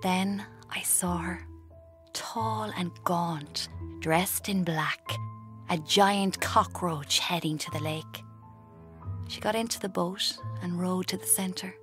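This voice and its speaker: A woman's voice: fairly low pitch, takes it slowly, fairly bright tone, soft background music. An actress in her twenties playing a blunt, restless aspiring writer with an Irish accent in a first-person radio drama. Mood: brooding, discontented. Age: 20s.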